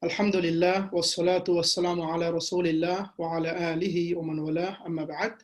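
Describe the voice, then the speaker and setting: A man from Bangladesh, a Bengali speaker, giving a measured webinar lecture over a video call with frequent fillers. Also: a little high, level tone. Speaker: guest lecturer.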